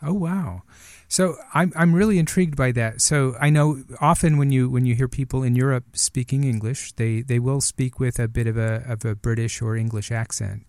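A man's voice: medium pitch, normal speed, a little mellow, no echo, clean studio sound. A middle-aged man hosting an interview, asking curious, friendly questions. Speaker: podcast host.

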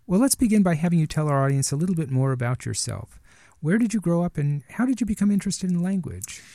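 A man's voice: fairly high pitch, very animated, quick pace, dark tone, dry recording. Middle-aged man hosting an interview, dry sound. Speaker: radio interview host. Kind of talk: conversational interview.

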